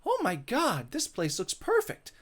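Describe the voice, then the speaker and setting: A man voicing a perky young woman who gushes excitedly in a visual novel reading. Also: extremely high pitch, a little slow, voice pushed up, exaggerated voice.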